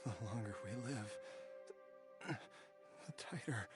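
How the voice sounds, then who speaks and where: An actor playing a dying husband and father, speaking softly and wistfully in a game cutscene. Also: mid-range voice, close to an everyday voice. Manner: soft, wistful, slow and heavy with farewell. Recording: game audio with loud music.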